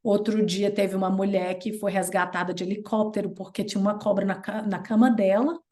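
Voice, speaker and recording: A Brazilian woman in her thirties with a Brazilian Portuguese accent, a guest chatting casually and telling stories in an animated way. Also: brisk. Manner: chatty and animated, playful jumps in pitch when retelling. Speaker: interview guest. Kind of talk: casual storytelling chat.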